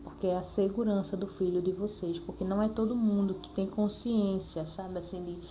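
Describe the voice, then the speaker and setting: A woman's voice: mid-range voice, fairly even intonation, a little fast, warm tone, slight background noise. A Brazilian woman talking casually and earnestly to viewers as a mother, repeating herself and adding frequent check-in tags. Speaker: mother sharing her experience in a vlog. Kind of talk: casual personal talk to viewers.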